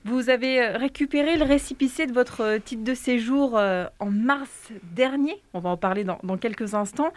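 A woman with a fairly high voice presents local radio news and interviews guests. She reads the items in a clear, steady way, then asks questions with plenty of pauses and fillers. The sound is dry and close, with no room around it. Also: dry recording. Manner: hesitant with many fillers when asking questions. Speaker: radio host.

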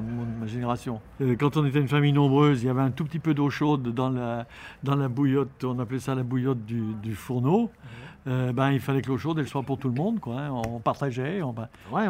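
An older speaker with grandchildren, reminiscing calmly about the past. The field recording carries a faint ambient noise behind the voice. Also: mid-range voice. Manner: relaxed reminiscing.

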